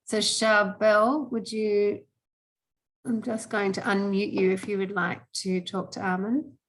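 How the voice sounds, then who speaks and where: A woman with a medium-pitched voice acts as host of an online meeting. She speaks informally, handling questions and handing over to others. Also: fairly even intonation, measured pace, slight background noise, poor audio.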